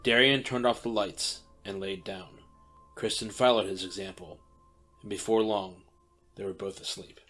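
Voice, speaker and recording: Low-voiced male narrator over guitar. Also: fairly even intonation, moderate pace, bright, forceful tone. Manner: plain, matter-of-fact. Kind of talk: scripted narration. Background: guitar music.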